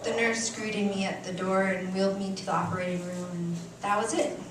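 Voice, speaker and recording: Lady doing a dramatic story reading live, really echoey, far from the mic, noisy room. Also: medium pitch. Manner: expressive, deliberate, theatrical delivery with dramatic pauses. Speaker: reader performing a short story on stage. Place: indoor cafe stage before an audience.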